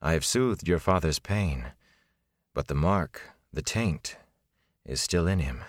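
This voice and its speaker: A man voicing a composed, weary sorceress who speaks in a measured way. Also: low voice, lively intonation, no room sound, studio quality. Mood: grave. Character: calm, weary female sorceress.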